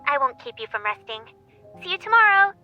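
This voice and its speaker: A woman's voice: high voice, sing-song, a little fast, faint music behind. A woman playing a kindly, concerned female coworker, heard through a telephone filter in an audio drama. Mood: concerned, friendly. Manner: concerned, friendly. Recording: muffled phone-line sound.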